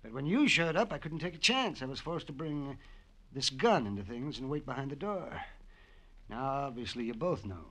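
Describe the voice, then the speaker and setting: An actor playing a calculating older villain in a classic radio drama, precise and self-satisfied. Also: male voice, mid-range voice, expressive, moderate pace, bright, forceful tone. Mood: smug, cold. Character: smug, calculating older male villain with a gun.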